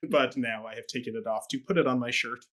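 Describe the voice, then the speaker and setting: A man co-hosts a relaxed theatre review talk, a bit hoarse from a cold. He talks in a chatty, self-deprecating way and laughs easily, at an ordinary middle pitch. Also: fast talker. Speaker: co-host and theatre critic. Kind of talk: casual review chat.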